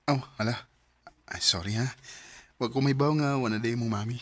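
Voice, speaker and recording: A woman's voice: rumbling low, normal speed, dry recording. A woman playing a modest, good-humored young man in his mid-twenties who runs a motor shop. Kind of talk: amateur radio drama acting.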